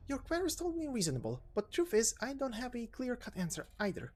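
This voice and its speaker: A woman voicing a sharp, talkative, teasing woman who explains things in an emphatic, knowing way in a game story reading. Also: a little high, wildly swooping pitch, quick pace, voice pushed up. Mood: explaining. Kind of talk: voiced visual novel story reading.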